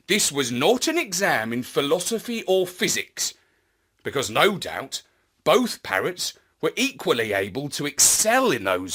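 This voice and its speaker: A man narrating a children's story in a theatrical, deliberate, expressive manner. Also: on the high side, husky. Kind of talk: scripted children's story narration in first person.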